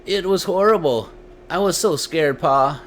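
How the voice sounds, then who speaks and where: A man voicing a frightened but proud young boy in a told story, with halting, childish country speech. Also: a little high, slight background noise, voice pushed up, lightly acted. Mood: scared, tearful. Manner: childish rural grammar, halting. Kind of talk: dramatized storytelling.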